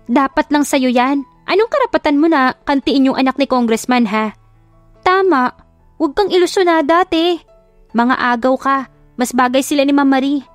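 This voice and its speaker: Woman acting nosy, high-pitched gossiping townsfolk. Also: animated, normal speed, no echo, faint music behind. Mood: scornful. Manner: nosy, scornful. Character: gossipy, judgmental neighborhood bystanders. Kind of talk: audio drama storybook acting.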